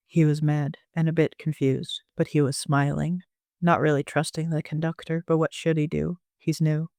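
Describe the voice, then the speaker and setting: Male amateur narrator, higher-pitched, gravelly fry, scripted story reading, dry recording. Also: level tone, normal speed, a little mellow, no room sound.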